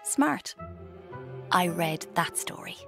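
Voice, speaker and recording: A woman's voice: on the low side, lively intonation, slow pace, vocal fry, sharp tone, soft background music, heavily acted. An actress playing a restless would-be writer in her twenties with an Irish accent, confiding drily in a radio drama. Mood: wry, confiding. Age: 20s. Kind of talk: radio drama, first-person confiding narration and dialogue.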